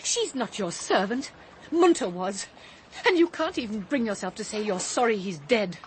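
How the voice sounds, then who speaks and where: An actress playing a disdainful, sharp-tongued British house guest in a radio drama, haughty and sardonic. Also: female voice, mid-range voice, animated, moderate pace, a little hiss or hum, close to an everyday voice, poor audio. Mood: scornful.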